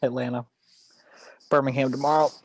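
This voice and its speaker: A man's voice: mid-range voice, little pitch movement, moderate pace, lightly acted. A man playing a weary, brooding investigator in a screenplay table read, speaking. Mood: tired, tender. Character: weary, brooding male investigator, quietly devoted husband.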